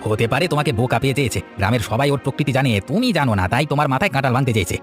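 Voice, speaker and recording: Man voicing a very fast-talking scheming village man. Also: medium pitch, faint music behind, natural voice. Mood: scornful, insistent. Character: scheming, grudging village man, scornful of neighbour. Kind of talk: Bengali village cartoon voice acting.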